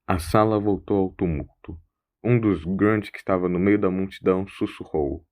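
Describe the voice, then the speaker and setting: A man's voice: low voice, normal speed, a little mellow. A man narrating a scripted science-fiction audio drama as its storyteller.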